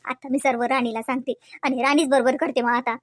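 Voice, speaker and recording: A performer playing a bitter, quarrelsome young wife and mother, who complains rapidly and repeats herself. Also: high voice, little pitch movement, no room sound, clean studio sound, natural voice. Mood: indignant. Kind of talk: homemade family skit.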